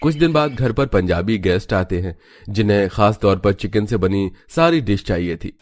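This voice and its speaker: Low-voiced man narrating a story. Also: expressive, normal speed.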